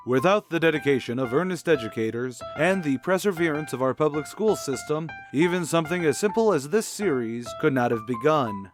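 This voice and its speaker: A man announcing a scripted radio show, reading as its presenter. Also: mid-range voice. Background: piano music.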